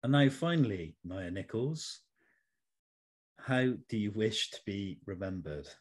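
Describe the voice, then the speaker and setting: A middle-aged man hosting a conversational interview in a measured, polite, slightly formal manner. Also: fairly low pitch, lively intonation, husky. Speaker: interview host.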